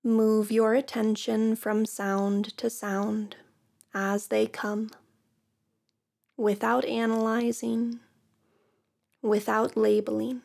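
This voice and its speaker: Slow, gravelly woman guiding meditation. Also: on the high side, little pitch movement. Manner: slow, soft, soothing guidance with long pauses. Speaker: yoga nidra teacher. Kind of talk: guided meditation.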